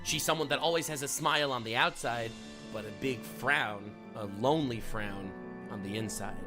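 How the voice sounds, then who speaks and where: A man's voice: medium pitch, normal speed. A man delivering scripted analytical commentary as a video essayist, emphatic and building points through rhetorical repetition. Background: music.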